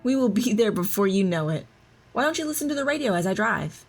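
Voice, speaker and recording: A woman's voice: mid-range voice, big pitch swings, fast. A woman playing a loving, teasing mother in an audio drama, both telling the story and talking with her child. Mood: playful, teasing. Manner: reassuring, teasing. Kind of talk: audio drama narration and dialogue. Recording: music under the voice. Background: music.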